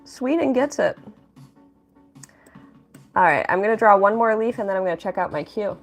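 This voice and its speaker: A young woman chats through a relaxed livestream while she draws, upbeat and friendly as she reads out and answers viewer messages. Her voice sits at an ordinary middle pitch. Soft music plays faintly behind her. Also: moderate pace. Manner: chatty, upbeat, thanks viewers and reads chat aloud. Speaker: livestreaming artist. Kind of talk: casual livestream chat.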